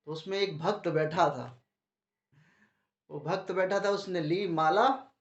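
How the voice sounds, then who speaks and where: A man's voice: high voice, slow pace. A man with a sore throat giving a devotional talk to a gathering, asking the audience questions and answering them himself. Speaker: preacher. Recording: muffled, echoey room.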